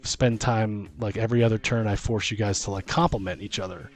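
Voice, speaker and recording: An excitable man hosts a casual tabletop gaming chat. He thinks out loud in halting bursts, working through ideas as a game master would, with music playing behind him. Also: fairly low pitch. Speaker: host and dungeon master on a tabletop gaming show.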